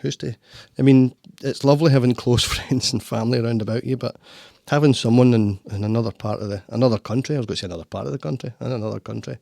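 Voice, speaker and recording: A man's voice: medium pitch, steady tone, fast, vocal fry, few pauses, dark tone, dry recording. A Scottish man hosting a casual podcast chat from his studio, rambling with frequent restarts. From Scotland. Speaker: podcast host. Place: home studio.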